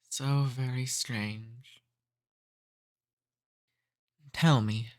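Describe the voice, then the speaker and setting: Guy doing a flirty ancient vampire for asmr, real slow and teasing, kinda bossy but courtly, super dry close sound. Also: mid-range voice, no room sound, natural voice. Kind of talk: intimate ASMR roleplay.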